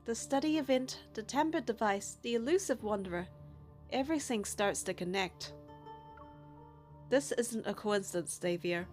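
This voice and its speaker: A woman's voice: on the high side, lively intonation, normal speed, a little mellow, faint music behind. A young woman reading a game's story narration and first-person dialogue aloud in a scripted style. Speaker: narrator of a game playthrough.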